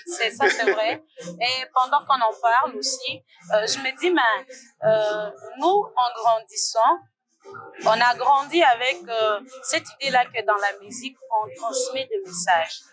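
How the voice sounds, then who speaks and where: A woman's voice: on the high side, a little slow, crowd noise, poor audio. A woman hosting an interview, asking questions and affirming her guest often. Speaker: host.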